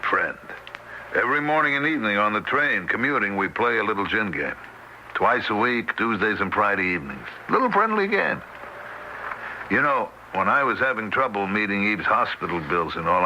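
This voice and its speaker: A sympathetic, world-weary middle-aged husband in a vintage radio play. His voice is fairly low and very bright, with lively, wry, conversational delivery and faint noise from the old broadcast. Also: normal speed, low-quality recording. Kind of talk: old-time radio drama acting.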